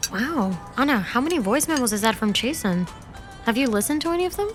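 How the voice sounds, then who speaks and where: A nosy young woman played by an actress in a romantic comedy audio drama. Her high voice is very animated as she prods and questions in a chatty, incredulous way. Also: fairly quick, music in the background, close to an everyday voice. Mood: prodding, incredulous. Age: young adult. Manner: prodding, incredulous. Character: nosy, chatty younger female confidante, a student.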